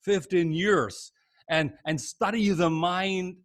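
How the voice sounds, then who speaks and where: A middle-aged man with a German accent, leading a live online training seminar in an energetic, repetitive, encouraging way over a video call. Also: high voice, slow, dry recording, studio quality. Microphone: computer mic on a video call.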